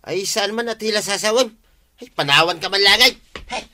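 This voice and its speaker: An actor playing an exasperated village husband in a comedy radio drama, grumbling with a northern Philippine Ilocano accent. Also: male voice, high-pitched, normal speed, sharp tone.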